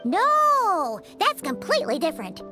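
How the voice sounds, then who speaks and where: A woman voicing a tiny, chatty, excitable fairy companion in a video game, with a squeaky childlike voice and expressive, informal delivery. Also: a little slow, over music, full character voice. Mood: insistent. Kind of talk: video game character voice acting.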